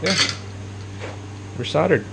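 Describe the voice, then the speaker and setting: A man talking to himself as he fixes up a car for his video, muttering a casual running commentary while he works with his tools. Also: slow. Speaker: DIY car vlogger. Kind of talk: casual working commentary to camera. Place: working on a car, near a lawn being mowed. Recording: loud background noise around the car.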